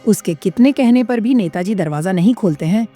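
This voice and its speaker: Lively female horror-story narrator over music. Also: medium pitch, a little fast. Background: prominent music.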